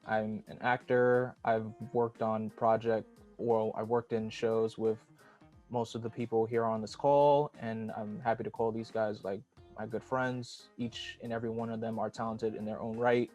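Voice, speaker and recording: A young man chats about himself over a video call in a loose, rambling way. His voice sits fairly low, with faint music behind. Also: flat delivery, unhurried, a little mellow, dull audio. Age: 20s. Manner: rambling, lots of "you know". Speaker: guest. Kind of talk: casual self-introduction.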